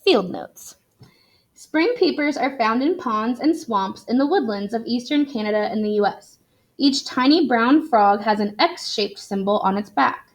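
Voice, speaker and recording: Female teacher, slightly high pitch, reading aloud and explaining clearly to young children. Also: animated, measured pace. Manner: explanatory, addressing young learners. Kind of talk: expressive read-aloud for children.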